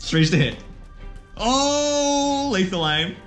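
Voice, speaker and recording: A man's voice: mid-range voice, animated, moderate pace. A man giving casual, self-mocking play-by-play commentary as he hosts and plays a tabletop wargame. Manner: jokey, self-mocking, exclaiming at dice rolls. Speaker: player and host of a tabletop wargame video. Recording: music behind the voice.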